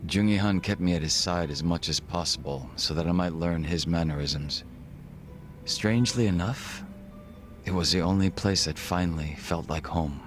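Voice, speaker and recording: Man acting a deep-voiced, refined gangster. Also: faint music behind, lightly acted. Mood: wistful, composed. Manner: formal, polite, measured, eloquent phrasing. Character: refined, reserved Korean gangster, a former body double. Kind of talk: video game cutscene acting.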